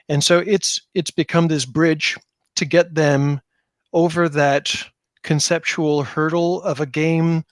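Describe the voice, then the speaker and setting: A man's voice: fairly high pitch, dry recording, clean studio sound. A middle-aged man talking as an interview guest, explaining his work in a casual, self-deprecating way. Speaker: podcast guest, tabletop game designer.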